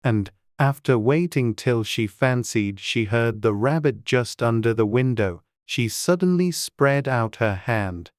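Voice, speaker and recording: A man narrating a classic children's story as an audiobook reader, measured and gentle. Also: mid-range voice, expressive, dry recording, clean studio sound.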